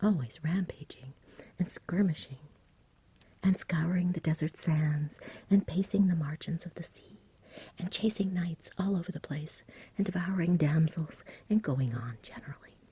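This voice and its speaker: A woman voicing a lazy, genial, poetry-loving male dragon from a storybook in a soft whisper, with a chatty, polite, dreamy manner. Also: lowish voice, moderate pace, slightly rough, no room sound. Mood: languid, chatty.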